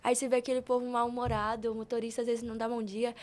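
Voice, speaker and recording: A woman's voice: fairly high pitch, little pitch movement, rapid-fire. A young woman with a Brazilian accent chatting informally and telling personal stories on a podcast. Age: young adult. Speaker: podcast guest. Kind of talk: casual podcast conversation.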